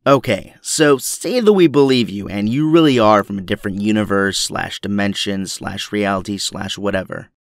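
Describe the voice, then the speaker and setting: A man's voice: on the low side, normal speed, few pauses, dry recording, studio quality, lightly acted. A man playing an older, weary but principled resistance captain in an audio drama, grave and commanding.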